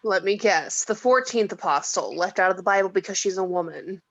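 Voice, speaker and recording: A woman's voice: medium pitch, a little fast, fairly bright tone. A woman playing a skeptical, exasperated mortal in a script table read, with a sarcastic manner. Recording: dull lo-fi home mic.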